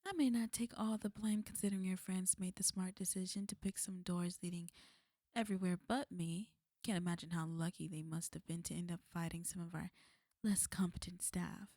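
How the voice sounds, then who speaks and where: A woman's voice: lowish voice, steady tone, a little fast, dark tone, no echo, clean studio sound. A woman playing a flirty, possessive villain in an ASMR roleplay, speaking intimately to the listener in a teasing, commanding way. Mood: teasing, casual.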